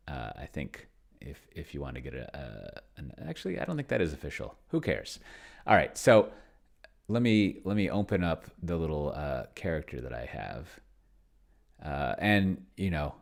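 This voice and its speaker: A man with a strikingly deep voice talks through a casual livestream demonstration. His delivery is unscripted and offhand, wandering off mid-thought, correcting himself and shrugging off his own slips with self-deprecation. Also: vocal fry. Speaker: livestreamer demonstrating solo tabletop roleplaying with an AI.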